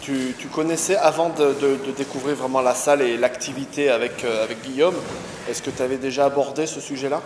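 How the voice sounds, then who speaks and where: A male interviewer asks questions in a conversational, encouraging way. His voice rings out in a roomy gym with a long tail of reverb. A clear hiss sits beneath him. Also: mid-range voice, fairly even intonation, brisk. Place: martial arts gym.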